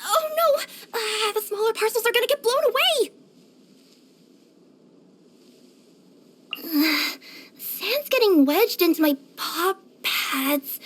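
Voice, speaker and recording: Super high pitched cute cat girl courier for a video game, eager n cheery, really animated. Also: exaggerated voice. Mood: dismayed. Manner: expressive, cutesy, with gasps and hesitant murmurs. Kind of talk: scripted video game character voice lines.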